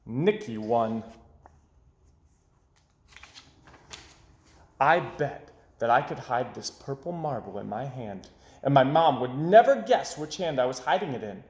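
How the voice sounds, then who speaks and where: A man voicing a dramatic, hard-done-by young boy in an expressive storybook read-aloud, theatrical and emphatic. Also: mid-range voice, normal speed, echoey room. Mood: insistent. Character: young boy, dramatic, aggrieved, forever losing his money.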